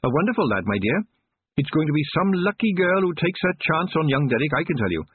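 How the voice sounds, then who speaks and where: A man's voice: fairly high pitch, very animated, fast, husky, no echo, voice pushed up. A man voicing a chatty, proud old witch woman talking fondly about her son, on a narrow, dry, phone-like recording. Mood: doting.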